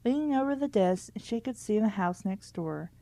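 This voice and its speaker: A woman reads a story aloud at a middling pitch in a dry, close recording. Her style is casual, and she breaks into wry, candid commentary as she goes. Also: expressive, normal speed, gravelly, dark tone, dry recording. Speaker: host reading a children's book aloud and commenting.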